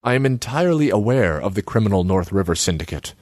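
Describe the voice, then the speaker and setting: Pompous male politician for audiobook, acted by a male reader: airy, wordy, self-righteous, with animated pitch and dry sound. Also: brisk, dry recording.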